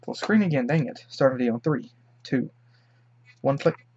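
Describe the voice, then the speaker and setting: A man's voice: medium pitch, normal speed, muffled recording, a crowd in the background. A young man with a head cold, hosting a casual, rambling reaction commentary. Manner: chatty, rambling, excitable. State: sick with a stuffy head cold. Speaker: reaction video host.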